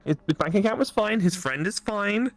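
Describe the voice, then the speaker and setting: A man co-commentating on a game in casual chat, animated and teasing. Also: high-pitched, moderate pace, vocal fry, dry recording. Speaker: co-commentator on let's play.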